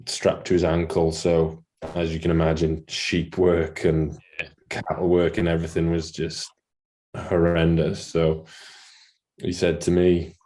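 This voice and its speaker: A Welsh-accented man of about thirty, Welsh his first tongue, talking casually as a podcast guest. His voice sits very low and barely moves in pitch. He keeps the chat light, poking fun at himself and laughing along. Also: monotone, measured pace, darkish tone. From Wales. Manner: self-deprecating, jokey, laughs often. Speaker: farmer guest.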